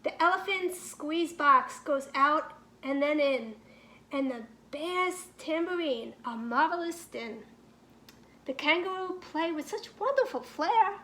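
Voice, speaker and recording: High, bright woman reading a storybook. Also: expressive, takes it slowly. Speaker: teacher reading a picture book aloud. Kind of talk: expressive storybook read-aloud for children.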